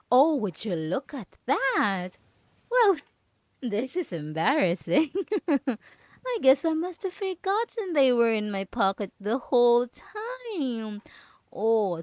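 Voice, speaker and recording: A woman's voice: fairly high pitch, dry recording. A woman playing a possessive, mocking yandere roommate in an ASMR roleplay, teasing and condescending as she lingers on her words. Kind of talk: dramatic ASMR roleplay monologue.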